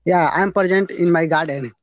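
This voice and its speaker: A young man with an Indian accent chats as an English learner, piecing together simple, halting phrases. His voice sits slightly high. The lo-fi call audio is dull and dry, captured outdoors on a phone. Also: no room sound. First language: not English. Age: young adult. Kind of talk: casual practice conversation. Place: outdoors in a garden. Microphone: phone at arm's length, video call.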